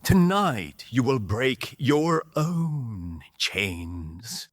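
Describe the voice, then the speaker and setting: Rebel outlaw chief, charismatic and reassuring, very slow with animated swings, male actor, fantasy audio drama. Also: mid-range voice, no echo, studio quality, heavily acted. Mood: rallying, intense.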